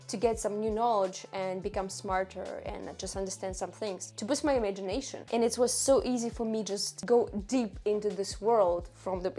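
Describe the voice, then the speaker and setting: A woman who is not a native English speaker, chatting casually to camera about books in halting, friendly phrasing with restarts. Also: medium pitch, mellow. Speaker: book vlogger.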